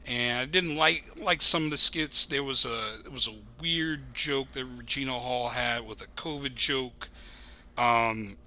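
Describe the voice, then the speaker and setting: A man's voice: medium pitch, fairly even intonation, measured pace, bright, forceful tone. A man who is a podcast guest, telling a story casually in a rambling, digressive way.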